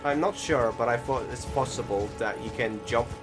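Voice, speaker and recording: Male gaming host, middling pitch, casual and rambling, halting delivery, prominent music behind. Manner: hesitant, rambling, word-searching. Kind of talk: casual voice-over game commentary.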